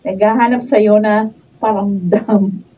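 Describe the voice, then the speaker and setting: A woman's voice: medium pitch, fairly even intonation, slow, dark tone, breathy edge. A woman playing a chirpy, teasing young office friend in a Filipino radio drama, with a Filipino accent. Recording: distant, dull room pickup.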